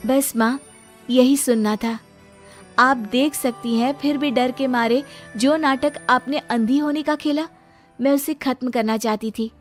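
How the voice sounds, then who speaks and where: Cartoon daughter-in-law, played by a woman: devoted, principled, earnest, fairly high voice over music. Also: fairly even intonation, measured pace. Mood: earnest, reassuring. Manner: earnest, respectful, firm. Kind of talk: Hindi cartoon story dubbing. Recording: music behind the voice.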